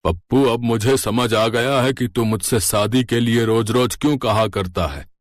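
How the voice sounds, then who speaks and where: A man's voice: medium pitch, moderate pace, close to an everyday voice. A man voicing a skeptical, thrifty beggar father in a cartoon story. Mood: knowing.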